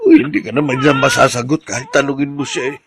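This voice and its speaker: An actor with a Filipino accent playing a doting, excitable new father in a radio drama, playful and eager. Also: medium pitch, fast, gravelly, a crowd in the background. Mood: flustered.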